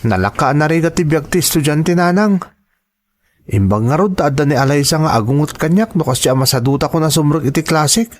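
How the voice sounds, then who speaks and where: A man's voice: on the high side, animated, fairly quick, natural voice. A man playing a good-natured young student and devoted son in a radio drama, boyish and playful, with an Ilocano accent. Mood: earnest.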